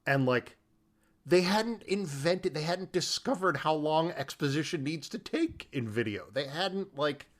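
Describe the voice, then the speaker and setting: Casual male podcast co-host, halting and tangential. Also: fairly high pitch, expressive. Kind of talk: casual film discussion.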